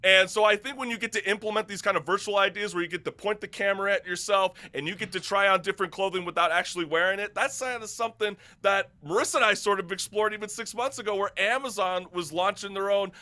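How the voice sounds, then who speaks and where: Guy hosting a chatty talk show, really bright forceful voice, slangy and hyped. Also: high-pitched, fast talker, few pauses. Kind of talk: casual talk show chat.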